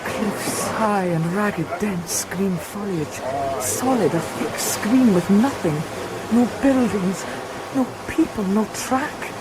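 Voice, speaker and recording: A woman's voice: mid-range voice, slow pace, very noisy, natural voice. A woman playing a brooding, bitter mother's inner voice in a literary radio drama, speaking in incantatory fragments. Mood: bleak, foreboding. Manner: clipped fragments, incantatory listing.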